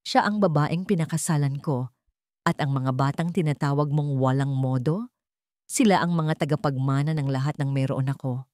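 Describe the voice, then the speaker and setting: A protective rich businessman played by a woman narrator in a romance radio drama. The voice is low and dry, firm and full of authority. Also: moderate pace, a little mellow, no echo, close to an everyday voice. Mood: stern anger. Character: protective, commanding rich businessman, husband and father.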